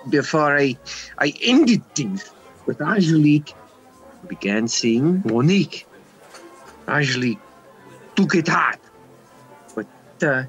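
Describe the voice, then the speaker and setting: A fairly high, husky male nightclub owner acted by a man stammers uneasily. He is a protective lover in a comic radio mystery, with faint music behind. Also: exaggerated voice, rough recording. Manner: halting, stammering.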